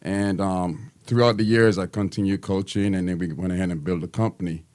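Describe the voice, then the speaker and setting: A man in his fifties from Guyana, a teacher and basketball coach, answering interview questions in a friendly, halting way with many ums. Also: deep voice, steady tone, a little mellow.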